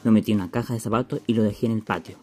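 A man's voice: fairly low pitch, fairly even intonation, very fast, a little mellow, soft background music, lightly acted. A Chilean man playing a stubborn, menacing, slangy schoolboy in a radio play, speaking bluntly. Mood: cold, menacing. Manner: colloquial, blunt.

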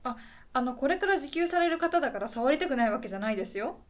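Woman acting a higher-voiced, patient female caseworker. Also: animated, fast. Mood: awkward, puzzled. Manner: courteous, reassuring, tentative. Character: polite, patient, slightly puzzled female welfare caseworker. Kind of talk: naturalistic conversational radio drama acting.

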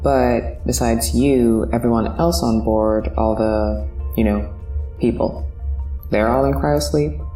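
A woman's voice: very deep voice, steady tone, slow, mellow. A woman playing an underwhelmed but polite space-liner passenger in a sci-fi audio drama, speaking in a hesitant, dry, understated way. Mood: puzzled. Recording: roomy, distant mic, music behind.

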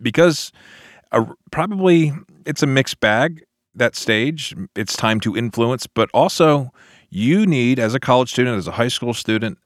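A man's voice: mid-range voice, lively intonation, measured pace, dry recording, studio quality. A man hosting a podcast as a pastor, talking casually and reading questions.

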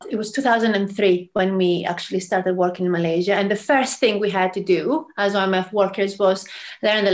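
Greek-born woman telling a story. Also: mid-range voice. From Greece. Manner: animated, with frequent fillers and restarts. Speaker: mission representative, guest. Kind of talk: conversational storytelling.

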